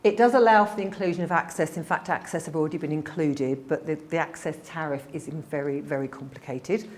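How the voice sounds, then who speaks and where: A woman with a slightly croaky voice, giving a quick, informal briefing on admissions to a group. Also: deep voice. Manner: quick-talking, informal, with fillers. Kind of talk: presentation to a group.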